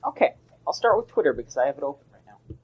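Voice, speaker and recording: A man's voice: fairly high pitch, sing-song, rapid-fire, darkish tone, breathy edge, rough recording. A young man co-hosting a casual gaming podcast, rambling and often restarting his sentences. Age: young adult. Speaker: podcast co-host.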